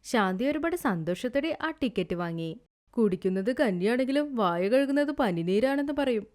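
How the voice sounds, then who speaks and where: A woman's voice: on the high side, animated, moderate pace, a little mellow. A woman narrating a scripted moral story as a storyteller.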